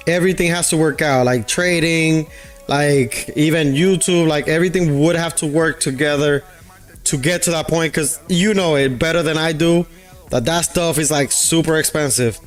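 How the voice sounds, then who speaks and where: Chatty rambling man, slightly high voice. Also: steady tone, moderate pace. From Puerto Rico. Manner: rambling, filler-heavy, thinks aloud. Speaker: livestreaming day trader. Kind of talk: casual livestream chat with viewers. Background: faint background music.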